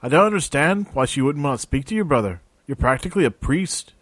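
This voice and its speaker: A blunt young soldier with a quick, forceful way of speaking, as a narrator voices him. The voice is raised and recorded dry. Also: male voice, a little high, animated, breathy edge, no room sound, voice pushed up. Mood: incredulous.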